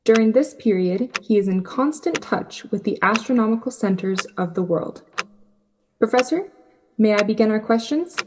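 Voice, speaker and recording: A woman's voice: mid-range voice, lively intonation, normal speed, natural voice. A woman playing a composed female radio reporter who gives a formal commentary in an old radio drama. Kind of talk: 1930s radio acting, scripted commentary. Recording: clearly noisy track with ticking.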